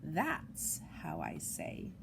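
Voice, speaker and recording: A woman reads a picture book aloud to young children in an expressive, sing-song way, adding chatty asides, on an amateur home recording. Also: deep voice, drawn-out words, gravelly, heavy background noise. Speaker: storytime reader for young children.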